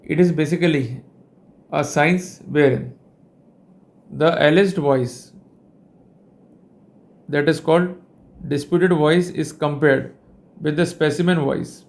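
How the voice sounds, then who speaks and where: A man with an Indian accent giving a formal instructional lecture on his own, in deliberate, measured phrases with short pauses. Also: on the high side, gravelly, a little mellow. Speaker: legal educator presenting a lecture.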